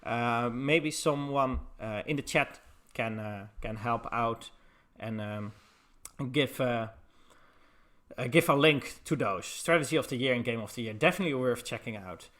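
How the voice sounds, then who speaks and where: A man's voice: mid-range voice, measured pace, fairly bright tone. Dutch man casting a live game. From Netherlands. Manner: loose and chatty, many filler words, replying to the chat. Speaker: esports caster. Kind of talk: casual live stream commentary.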